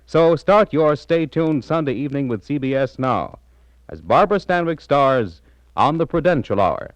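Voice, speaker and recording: A man's voice: a little high, expressive, normal speed, no echo. An American man announcing for network radio in a polished, formal, emphatic 1940s style.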